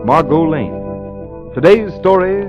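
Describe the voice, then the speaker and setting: A man's voice: a little high, animated, slow, loud music behind the voice. An American man working as a 1930s radio announcer, reading a script in an emphatic, declamatory way. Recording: muffled 1930s radio recording.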